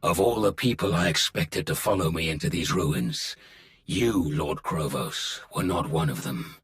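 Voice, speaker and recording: An actor playing a menacing, commanding Sith lord in a video game, speaking in a slow, ominous, deliberate way. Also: male voice, fairly bright tone, exaggerated voice. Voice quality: deep, gravelly. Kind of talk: video game cutscene acting.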